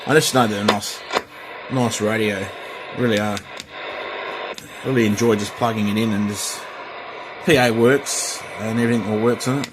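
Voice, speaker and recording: A man giving a casual, rambling, unscripted show-and-tell as a hobbyist, halting as he goes, with a slightly husky voice. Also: fairly low pitch, fairly even intonation, noisy background. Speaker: hobbyist presenter showing a restored CB radio.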